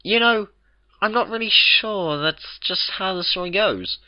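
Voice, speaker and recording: A genial middle-aged baker pony, put on by a male reader hems his way along in folksy tones. His voice sits a little higher than the reader's own. The recording is dull and lo-fi. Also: unhurried. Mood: sheepish. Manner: folksy, unsure.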